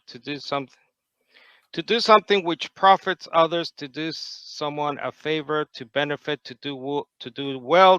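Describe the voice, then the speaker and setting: Bright, crisp-voiced male debater. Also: on the high side, dry recording, lo-fi sound. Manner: measured, probing questions. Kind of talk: debate cross-examination.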